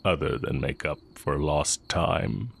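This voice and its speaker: An extremely deep, slow-talking heretic sorcerer acted by a man, once an academy teacher. He speaks intimately and straight to the listener, with smug, wry condescension and an undercurrent of affection, as in a fantasy audio roleplay. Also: gravelly, husky, heavily acted. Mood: fond, musing. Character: exiled heretic dark-magic teacher, smug, fond, villainous.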